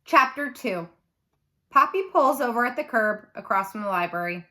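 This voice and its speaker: A woman reading a children's novel aloud like a teacher, with animated, dramatic emphasis. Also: on the high side, moderate pace.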